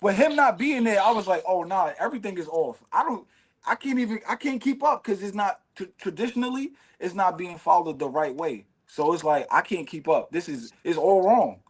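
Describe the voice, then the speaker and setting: A man in his late thirties hosting a casual podcast chat, talking in an animated, emphatic way with streetwise slang. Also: sits high, brisk, husky. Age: 30s. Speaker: podcast host.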